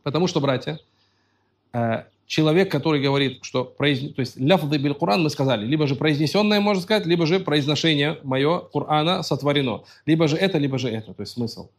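A man's voice: fairly high pitch, a little fast. A man giving a religious lecture, reading out Arabic passages and then explaining them plainly in his own words. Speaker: religious teacher.

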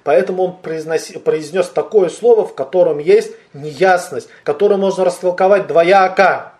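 A man's voice: high-pitched, moderate pace, darkish tone. A man giving a religious lecture, explaining terms step by step, asking rhetorical questions and answering them himself, quoting Arabic words. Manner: repeats and rephrases terms, quotes Arabic words. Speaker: religious teacher. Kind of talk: theological lecture.

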